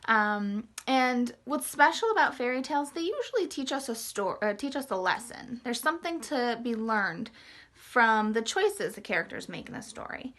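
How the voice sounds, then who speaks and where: Bright, high-voiced woman reading to children. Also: normal speed. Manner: expressive, simple explanations addressed to children. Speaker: children's storytime reader. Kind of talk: storytelling read-aloud for young children.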